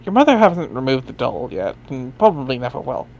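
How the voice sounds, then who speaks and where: A man's voice: mid-range voice, sing-song, brisk, gravelly, darkish tone, highs cut off. A man reading narration aloud in a dry, wry way, dropping in casual asides. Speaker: reader in a group dramatic reading.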